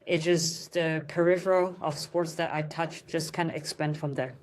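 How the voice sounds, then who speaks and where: A woman's voice: deep voice, fairly even intonation, normal speed. A young woman from Hong Kong, a guest in a casual interview, talking thoughtfully with frequent fillers and restarts.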